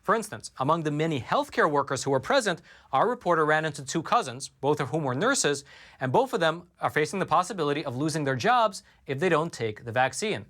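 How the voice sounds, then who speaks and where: A man hosting a news commentary show from a studio, delivering a scripted report and reading quotes aloud in a measured way. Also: fairly high pitch, sing-song, fairly bright tone.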